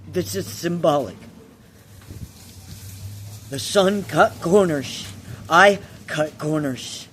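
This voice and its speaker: Guy doing earnest storytelling, kinda high voice, really slow with pauses, bit of noise behind him. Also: fairly bright tone. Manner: halting, deliberate, pausing between short phrases; earnest, confessional. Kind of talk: slow personal storytelling with a moral lesson.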